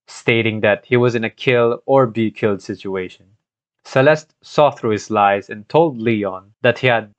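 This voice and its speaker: A man's voice: mid-range voice, no room sound. Man narrating, dull lo-fi mic. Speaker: video narrator, gaming content creator. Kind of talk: scripted storytelling narration with personal commentary. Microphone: cheap home microphone, voice-over. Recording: dull lo-fi scuffed home microphone.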